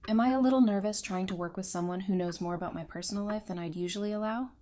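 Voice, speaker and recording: A woman playing a composed, tough-minded therapist in an audio drama, speaking in a measured, dry, self-assured way. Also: mid-range voice, warm tone, lightly acted. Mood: firm.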